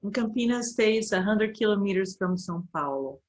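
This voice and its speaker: A woman in her fifties from Brazil, a native Portuguese speaker with a Brazilian accent, giving a halting online presentation as a university professor, with many fillers as she searches for words. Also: mid-range voice, expressive, low-quality recording.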